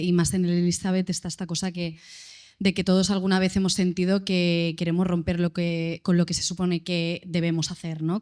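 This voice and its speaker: A thoughtful woman answers on a stage panel, pausing to search for her words. Her voice is lowish, mellow and notably flat in melody, carried by a handheld mic. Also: clean tone. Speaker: actress. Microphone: handheld microphone.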